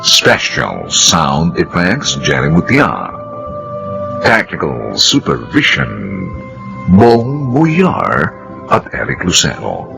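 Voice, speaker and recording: A man narrating a radio drama from a script in a solemn, deliberate manner. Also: a bass voice, wildly swooping pitch, gravelly, music in the background.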